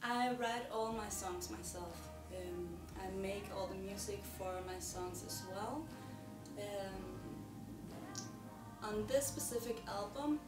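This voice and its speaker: A young Danish woman, a singer-songwriter, talking to camera about her music in a soft, hesitant way with many pauses and ums. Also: medium pitch, reverberant, recorded from a distance. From Denmark. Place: indoors in front of a plain wall.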